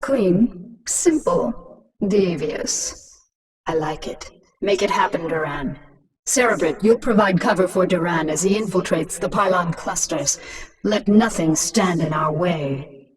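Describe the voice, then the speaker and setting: A woman's voice: fairly low pitch, slow, recorded from a distance, a little hiss or hum. A woman playing a ruthless alien swarm queen in a video game, commanding and scornful in manner. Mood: scheming, imperious. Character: ruthless alien swarm queen, transformed human, imperious and taunting.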